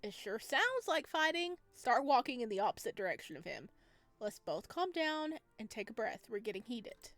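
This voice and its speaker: Playful, higher-pitched woman streaming a game. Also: very animated, moderate pace, fairly bright tone, no echo. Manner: chatty, playful, reads lines aloud. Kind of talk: casual playthrough commentary. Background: game music.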